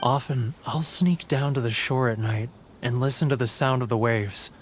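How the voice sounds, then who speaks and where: A man's voice: mid-range voice, normal speed, a little hiss or hum, close to an everyday voice. A man playing a guilt-ridden timid merchant. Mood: gloomy. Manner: mournful, stammering, self-pitying. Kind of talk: video game cutscene dialogue.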